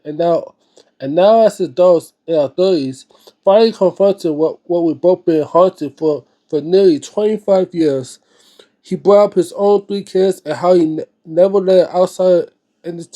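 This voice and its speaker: Male book-reading host, high voice with a soft, dark tone. Informal, halting delivery with frequent stumbles and repeats. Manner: slangy, informal asides. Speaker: host of a read-aloud book series. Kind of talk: dramatic book reading with informal spoken commentary.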